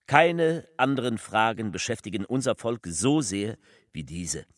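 An actor playing a fervent politician giving a theatrical speech, declamatory and emphatic, with deliberate pauses. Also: male voice, mid-range voice, no echo, studio quality, close to an everyday voice. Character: fervent male politician delivering a rambling, faltering public speech.

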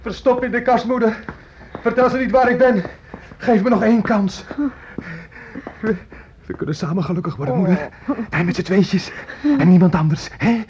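A man's voice: sits high, moderate pace, a little mellow, close to an everyday voice. An actor playing an unhinged armed fugitive in a radio play, volatile, swinging between pleading and threats as he clings to his mother. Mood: desperate, pleading. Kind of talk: radio drama acting. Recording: dull, noisy old radio play recording.

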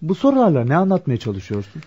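A trusting young man in a radio play. He talks quickly in a husky, mellow voice with a gentle manner, on a dull recording. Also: on the high side, huge pitch swings, exaggerated voice. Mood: puzzled. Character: trusting, good-natured young man, son and friend. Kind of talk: radio drama acting.

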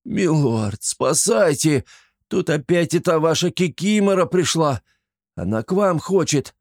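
A frightened old drunkard, shrill and wailing, his voice leaping high and loud. He begs in terror, played by a man reading the story. Dry, close recording. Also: big pitch swings, measured pace, gravelly, husky, dry recording, full character voice. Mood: panicked wailing. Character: panicked drunk old male moonshiner.

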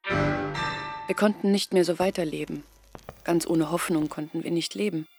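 A woman's voice: fairly low pitch, steady tone, measured pace, soft background music. An actress playing a young village woman, weary and bitter, earnestly recounting her family's disgrace in a radio play. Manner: quiet, earnest storytelling.

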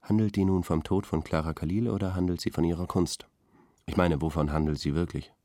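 An actor playing an earnest, lecturing intellectual art critic in a radio drama studio discussion. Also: male voice, deep voice, fairly even intonation, brisk, mellow, no room sound, close to an everyday voice. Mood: measured, argumentative.

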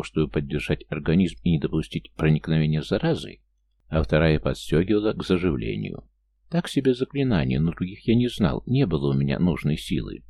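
A man's voice: sits low, gravelly, dark tone. A man reading a first-person fantasy story as a scripted audiobook narration.

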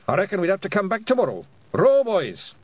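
A tiny warrior pixie with a broad Scottish accent. He is belligerent and boisterous, speaking in thick dialect. The voice sits high and swings widely, as in a lively storybook. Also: fairly quick, no echo, exaggerated voice. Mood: bold, game. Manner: boisterous, blustering, dialect-heavy speech.

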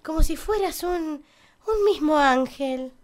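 Woman acting a high-voiced, gushing small-town councillor. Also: lively intonation, slow pace. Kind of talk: satirical radio drama acting.

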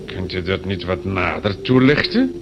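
An actor in a radio play playing a fussy, officious police inspector, insistent and mock-courteous, on a noisy old recording. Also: male voice, sits low, very animated, moderate pace, creaky, lo-fi sound, heavily acted. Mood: incredulous. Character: officious, exasperated, fatherly male police inspector.

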